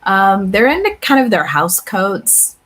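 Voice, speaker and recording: A woman hosting a tarot channel, talking casually and reflectively to viewers about spiritual matters, with halting pauses and repeats. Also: mid-range voice. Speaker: tarot reader and channel host. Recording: clear steady background room noise.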